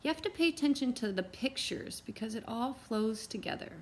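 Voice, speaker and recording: A woman with a slightly high voice reads a children's picture book aloud. Her delivery is expressive and geared to young listeners, with simple explanations along the way. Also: brisk, a little hiss or hum. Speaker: read-aloud teacher and storyteller.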